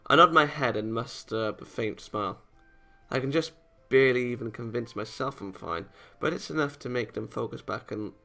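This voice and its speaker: A man hosting a game playthrough, reading a visual novel aloud and breaking into animated, exasperated commentary. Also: mid-range voice, vocal fry. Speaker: let's play host.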